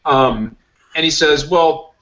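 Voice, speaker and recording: A man hosts a casual podcast and rambles along, mocking himself. His voice is bright and a touch high. The audio comes through a muffled, lo-fi call line. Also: takes it slowly. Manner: rambling, self-mocking, joking. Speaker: podcast host. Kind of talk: casual chat.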